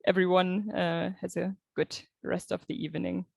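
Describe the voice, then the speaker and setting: Moderator with a gravelly voice. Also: medium pitch, flat delivery, moderate pace, a little mellow, dry recording, studio quality. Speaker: event moderator. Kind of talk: moderating an online event. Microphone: video call.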